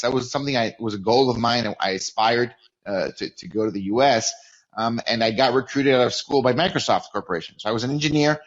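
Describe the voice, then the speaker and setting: A man's voice: mid-range voice, highs cut off. A man in his forties, from Mexico with a Californian accent, telling his story as a podcast guest, often repeating and restarting words. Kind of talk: casual interview storytelling.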